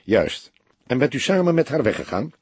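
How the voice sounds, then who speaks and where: Man as a husky, probing police detective. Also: mid-range voice, very animated, moderate pace, no echo, pitched above the performer's own voice. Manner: brief probing questions, hesitant and gentle at times. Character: male police detective, probing, patient, sympathetic questioner. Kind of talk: audiobook character dialogue.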